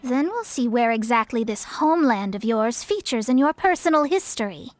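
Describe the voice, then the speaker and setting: Woman playing a high-voiced, haughty young astrologer mage. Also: animated, normal speed, slight background noise, close to an everyday voice. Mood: haughty, indignant. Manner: self-assured, formal, lecturing. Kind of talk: video game character acting.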